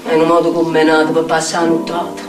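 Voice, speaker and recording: An actress playing a tormented street outcast in a theatrical monologue, speaking incantatory, repetitive lines with a Neapolitan accent. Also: female voice, sits low, monotone, quick pace, lightly acted. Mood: brooding invocation. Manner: incantatory, dramatic, repetitive phrasing. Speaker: stage actress. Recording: echoey distant stage sound with music. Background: plucked guitar music.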